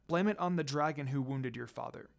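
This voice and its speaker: A kind teenage girl in a fantasy story, voiced by the narrator. She talks softly and earnestly, with gentle concern and a determined streak. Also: mid-range voice, a little fast, creaky, natural voice.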